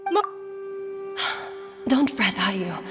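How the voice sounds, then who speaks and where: A woman's voice: mid-range voice, big pitch swings, extremely slow, music in the background. An actress playing a devoted, determined wife in a video game flashback, tender and reassuring, with reverb on her voice. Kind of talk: dramatic video game voice acting.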